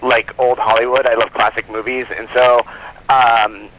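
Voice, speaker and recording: A man's voice: mid-range voice, level tone, moderate pace, a bit bright. A man being interviewed as a guest, chatting casually in a rambling way with plenty of filler words like "like" and "you know.". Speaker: comic book publisher, interview guest. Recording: noisy phone call from guest.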